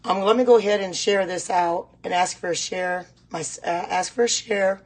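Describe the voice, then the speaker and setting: Hoarse woman chatting while cooking. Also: fairly low pitch, moderate pace, rough recording. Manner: chatty, repeats herself, greets viewers. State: hoarse voice, clearing throat at times. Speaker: host of a live home cooking stream. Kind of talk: casual live cooking demonstration chat. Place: home kitchen.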